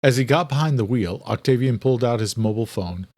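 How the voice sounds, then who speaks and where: A man's voice: mid-range voice, fast, darkish tone, no echo. Male horror audiobook narrator, dry sound. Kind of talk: dramatic scripted horror narration.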